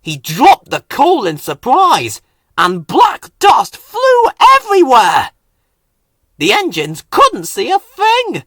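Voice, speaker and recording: A man narrating a children's story in an expressive read-aloud style. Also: very high voice, takes it slowly, dry recording, clean studio sound.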